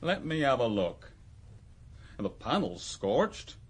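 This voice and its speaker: An actor playing a calm village constable, measured and official, in a radio drama. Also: male voice, mid-range voice, husky, full character voice. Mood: businesslike. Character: methodical village police constable, male, calmly inquisitive.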